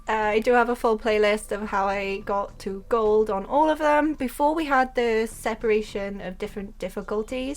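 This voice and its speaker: Young welsh woman, gaming creator, chatty and excitable, slightly high voice, faint music behind. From Wales. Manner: excitable and chatty, then calm explaining. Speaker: game content creator. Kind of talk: casual gameplay commentary.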